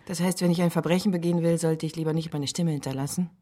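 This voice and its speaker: An actress playing a sharp, ironic woman who asks dry, probing questions in a radio play. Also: low-pitched, steady tone, fast.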